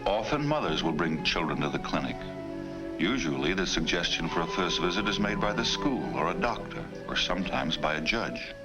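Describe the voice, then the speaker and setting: A man's voice: sits low, sing-song, moderate pace, rough recording. A man reading formal, authoritative scripted narration for a 1950 educational film. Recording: vintage film soundtrack with music. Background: music.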